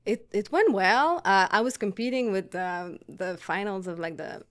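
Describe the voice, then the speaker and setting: A woman's voice: medium pitch, moderate pace. A middle-aged woman from Montreal with a Quebec French accent, a podcast guest chatting casually and hesitantly with many fillers. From Montreal, Canada. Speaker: podcast guest, artist and writer. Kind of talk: casual interview chat.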